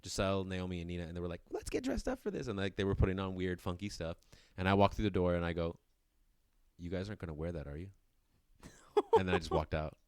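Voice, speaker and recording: Low-voiced man in his thirties, rambling. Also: lively intonation, fast, a little mellow, clear, resonant tone, no echo, studio quality. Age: 30s. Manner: rambling, self-interrupting, laughs often. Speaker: podcast co-host. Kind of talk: casual chat.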